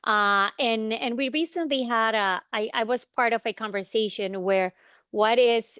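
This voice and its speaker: A woman's voice: mid-range voice, smooth, dry recording, highs cut off. A woman from Honduras with a Honduran Spanish accent, a native Spanish speaker presenting informally as a community support panelist in a webinar. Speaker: county immigrant support panelist.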